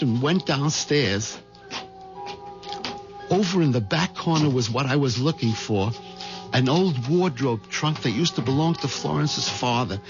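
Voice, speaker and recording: A man's voice: medium pitch, lightly acted. A man acting a nervous, hesitant American husband caught up in a murder plot, in a 1970s radio drama. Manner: hesitant, stammering under pressure. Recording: dull vintage radio recording with music behind.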